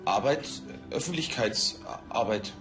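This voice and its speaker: A man's voice: medium pitch, expressive, slow pace, music in the background, low-quality recording. Defensive policeman. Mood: indignant, defensive. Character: defensive, pampered male police officer. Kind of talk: TV crime comedy acting.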